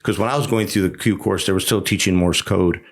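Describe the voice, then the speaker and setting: A man's voice: sits low, fairly even intonation, fast. A middle-aged man from the United States, a veteran guest in a casual interview, telling candid stories with restarts and asides.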